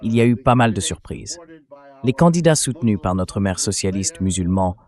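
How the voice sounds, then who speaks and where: A man's text-to-speech voice, pitched on the low side. He speaks as an interview guest giving political analysis, in a measured and explanatory manner. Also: expressive, a little mellow. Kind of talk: translated interview commentary, read by AI voice.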